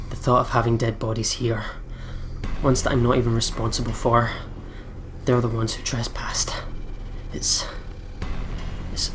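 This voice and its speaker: A man's voice: mid-range voice, background noise. A man playing a visual novel, reading it aloud dramatically and chatting casually in between. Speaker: let's play YouTuber reading a visual novel. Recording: music behind the voice. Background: game music.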